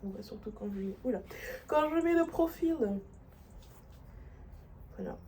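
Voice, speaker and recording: A woman's voice: high-pitched, animated, a little slow, mellow. Chatty woman with a stuffy nose. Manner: chatty, rambling, many fillers and restarts. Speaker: vlogger. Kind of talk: casual diary vlog. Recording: noticeable background noise.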